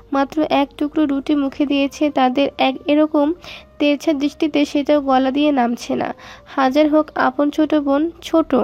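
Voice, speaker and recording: High, even-toned woman narrating a story. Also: vocal fry, dull audio.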